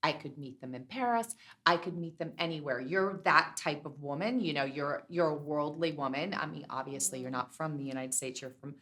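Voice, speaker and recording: A woman's voice: deep voice, fairly quick, hardly any pauses, a bit bright. A middle-aged woman hosting a podcast, chatty and effusive with compliments for her guest. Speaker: podcast host. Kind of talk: podcast intro and interview.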